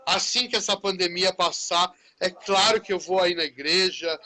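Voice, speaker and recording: High, bright-voiced São teacher. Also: male voice, moderate pace. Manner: chatty, friendly, often tags phrases with "né". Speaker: teacher, live-stream host. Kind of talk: casual conversation in a live stream.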